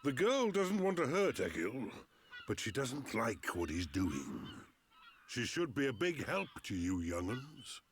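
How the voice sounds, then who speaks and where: An actor playing a gruff but kindly older male elder who speaks slowly and in a fatherly way. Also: very animated, a little hiss or hum. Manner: slow, low and fatherly, calls others lad.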